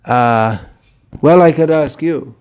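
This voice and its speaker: Slow, mellow male spiritual teacher. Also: medium pitch, clean tone. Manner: gentle, chuckling, questions the listeners. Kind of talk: informal spiritual talk.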